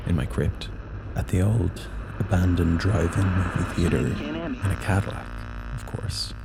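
Low, gravelly, creepy horror host played by a man. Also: very noisy. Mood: playfully ominous. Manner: slow, ominous, playful. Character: creepy, genial male horror storyteller in a crypt. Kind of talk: theatrical spooky podcast introduction.

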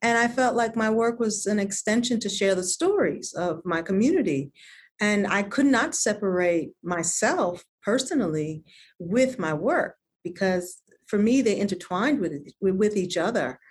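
A woman's voice: mid-range voice, expressive, measured pace, breathy edge. A woman from Connecticut, a designer being interviewed, speaking earnestly and often repeating words as she gathers her thoughts. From United States (Connecticut). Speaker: designer, guest. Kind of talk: reflective interview conversation.

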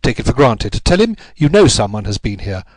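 Man acting a keen, commanding detective, animated pitch. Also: a little fast, no echo. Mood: urgent, commanding. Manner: urgent, clipped, imperious. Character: intense, commanding male detective, keen and masterful. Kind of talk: audiobook character voice.

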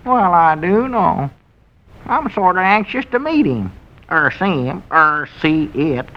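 An actor playing a simple-minded, suspicious old country storekeeper in an old-time radio comedy, with a rural Southern accent and querulous, naive talk. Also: male voice, sits high, big pitch swings, slow, full character voice. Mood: naive puzzlement. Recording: muffled vintage radio recording.

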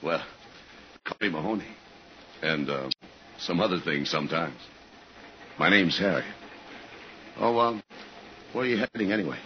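An actor playing a guarded, awkward undercover man posing as a friendly traveler in a 1950s radio drama, with halting, understated delivery. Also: low voice, expressive. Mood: casual, probing. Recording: muffled, hissy 1950s radio recording.

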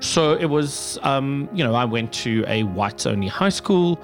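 Middle-aged man, south african accent, measured interview answers, faint music underneath. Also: medium pitch, lively intonation. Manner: thoughtful, many fillers and restarts. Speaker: executive director of a pride center.

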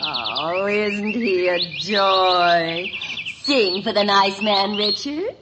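Animated, gushing neighbor, very noisy recording. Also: female voice, medium pitch, very slow. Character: gushing woman neighbor doting on her pet canary. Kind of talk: 1950s radio sitcom acting. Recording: noisy old radio recording, canary chirping.

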